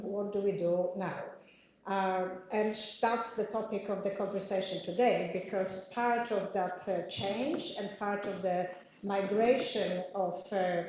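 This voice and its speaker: A woman's voice: medium pitch, a little mellow, a little hiss or hum. Polish woman chairing in an echoey room. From Poland. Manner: chatty, frequent fillers and laughs. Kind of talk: introducing a panel debate. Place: parliament meeting room. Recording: very echoey room, dull audio.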